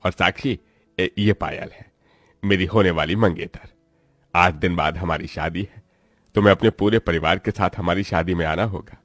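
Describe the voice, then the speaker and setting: Deep-voiced earnest young bridegroom played by a man. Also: normal speed, fairly bright tone, dry recording, faint music behind. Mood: friendly. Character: kind, busy, wealthy young boss and bridegroom. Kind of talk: Hindi moral-story cartoon acting.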